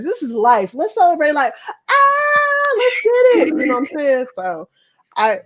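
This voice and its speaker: A woman in her thirties from Chicago with an American accent speaks frankly and casually, swearing freely. Her voice is on the high side, and the recording is somewhat dull and lo-fi. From Chicago, United States. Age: 30s. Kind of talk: casual chat, personal reflection. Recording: dull lo-fi audio.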